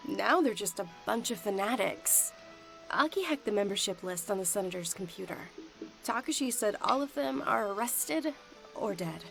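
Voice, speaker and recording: An actress voicing a firm, serious captain of an investigation agency in a visual novel, with an assertive manner. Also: female voice, medium pitch, expressive, moderate pace, creaky, a bit bright, rough recording. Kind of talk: English visual novel voice acting. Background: music.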